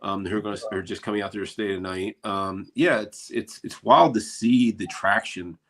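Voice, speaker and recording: A man's voice: lowish voice, moderate pace, a bit bright. An American man chatting casually in a rambling, laid-back way, telling stories as a paranormal investigator. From eastern United States. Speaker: paranormal investigator and show cast member. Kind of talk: casual chat.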